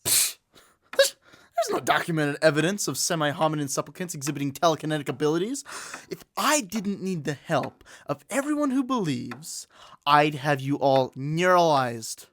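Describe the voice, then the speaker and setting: A woman's voice: sits low, expressive, normal speed, dry recording. A woman playing Billy's part as an obsessive, bossy teenage alien hunter, intense and melodramatic, in a comedic audio drama. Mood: stern, exasperated.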